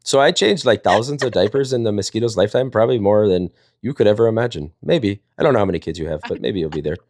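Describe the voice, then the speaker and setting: Low-voiced guy, fast-talking playful storyteller, very dry close sound. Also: lively intonation, a little mellow, no echo. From Wisconsin, United States. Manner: rambling, jokey, playful. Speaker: storyteller and live panel host. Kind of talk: casual chat and storytelling.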